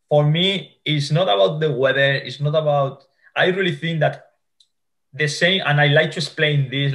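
Spanish-accented man, halting interview answers. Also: medium pitch, fairly bright tone. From Spain. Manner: halting, with many restarts and fillers. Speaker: futsal coach. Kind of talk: video call interview. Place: home room. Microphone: video call.

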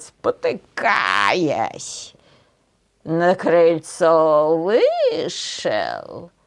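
An older woman telling a folk tale expressively, in an unhurried, folksy way with homely diminutives. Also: fairly high pitch. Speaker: storyteller on a channel. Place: small decorated room indoors.

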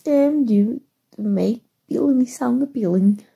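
Woman acting a breathy, shy, nerdy superheroine. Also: a little high, full character voice. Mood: flustered, smitten. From Mexico. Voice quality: breathy, soft. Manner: halting, stammering, awkward. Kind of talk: ASMR roleplay acting.

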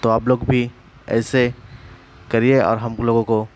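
A man's voice: mid-range voice, slow pace. Male workshop voice-over, level and matter-of-fact, explains step by step, slight background noise. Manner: plain, steady, explains step by step. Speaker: welder demonstrating a technique. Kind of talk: casual how-to voice-over.

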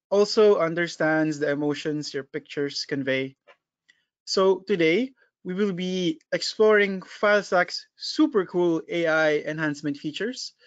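A man's voice: fairly high pitch, fairly bright tone, clean tone, dry recording, highs cut off. A man introducing a webinar as product marketing manager, upbeat with frequent fillers.

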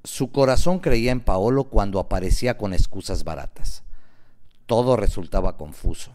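A man narrating a radio novel in a measured, dramatic way with deliberate emphasis. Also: low voice, mellow.

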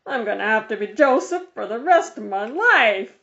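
A woman's voice: a little high, lively intonation, moderate pace, fairly bright tone. A woman voicing a glum, complaining older boy in a storybook, whiny and resigned, on a slightly dull recording.

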